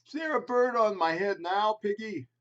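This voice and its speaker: A man's voice: high voice, animated, normal speed, fairly bright tone, a little hiss or hum, voice pushed up. A man voicing an anxious, fretful grown-up male elephant in a storybook read-aloud, worried and pleading. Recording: slightly muffled home video audio.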